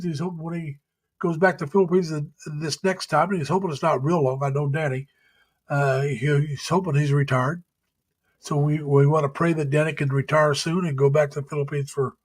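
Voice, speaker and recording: A middle-aged man with a slightly raised pitch talks to his live-stream viewers over a home mic. He is folksy and rambling, laughs easily, and halts on plenty of uhs. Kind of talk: casual chat reading viewer comments. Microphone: home webcam or computer mic.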